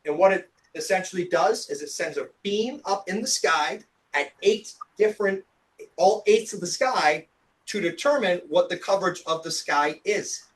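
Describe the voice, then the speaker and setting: A young man, a TV meteorologist, giving an energetic explanatory talk with a slightly hoarse voice. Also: high voice, normal speed, a little hiss or hum, poor audio. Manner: energetic explanatory delivery with casual fillers. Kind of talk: presentation lecture.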